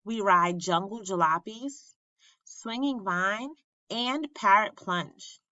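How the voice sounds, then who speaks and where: A woman reads a children's story in a bright, forceful voice. She takes her time, teaching as she goes with animated expression. The recording is a little dull and lacks crispness. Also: medium pitch, slow pace. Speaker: teacher reading a picture book aloud. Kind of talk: expressive children's read-aloud. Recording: roomy, slightly muffled sound.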